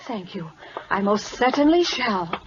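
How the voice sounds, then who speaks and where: A principled, outspoken royal governess in a vintage radio drama, heard on a muffled old recording. She speaks with earnest, lively expression and careful articulation, staying polite but standing firm. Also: mid-range voice, measured pace, noisy background. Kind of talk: 1940s American radio acting.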